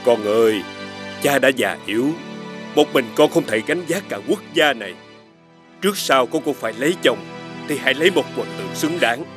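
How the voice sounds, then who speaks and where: An actor playing an aging, firm but caring king in an animated fairy tale, speaking formally and with measured dignity. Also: mid-range voice, close to an everyday voice. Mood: pleading, fatherly. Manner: formal, measured royal speech. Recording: faint music behind.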